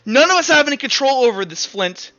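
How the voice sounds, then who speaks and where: A man voicing an embittered, grieving mother who lashes out at her husband in a forceful, accusing way. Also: very high voice, sing-song, fast, voice pushed up, heavily acted. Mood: furious, anguished. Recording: dull lo-fi audio under music.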